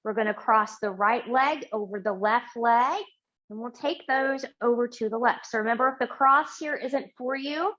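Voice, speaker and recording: A middle-aged woman leading a yin yoga class, giving slow, gentle cues and affirmations while lying on a mat in a small home studio. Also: fairly high pitch, dull audio. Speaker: yoga teacher. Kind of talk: guided yoga class instruction.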